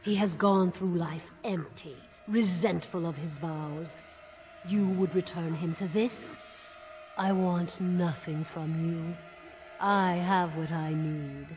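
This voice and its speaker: A seductive female demon for a fantasy game. She speaks in a low, unhurried voice, possessive and coldly defiant. Soft music plays underneath. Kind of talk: fantasy video game dialogue.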